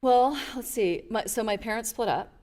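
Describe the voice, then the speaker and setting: A middle-aged woman, a guest on an interview podcast, telling stories from her life in an animated way and acting out the voices in them. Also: on the high side, moderate pace, background noise. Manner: animated storyteller, acts out quotes. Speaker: guest, leadership advisor. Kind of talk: casual interview storytelling.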